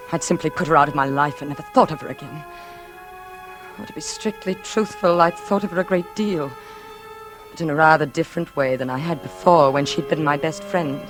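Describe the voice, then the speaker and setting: Old-time radio suspense: actress as secretive, isolated woman, deep register, fearful yet chilly, evasive, menacing undertone, faint music under. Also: lightly acted. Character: brooding, secretive, lonely woman, frightened yet coldly resentful. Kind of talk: 1940s radio thriller acting, confiding narration and dialogue.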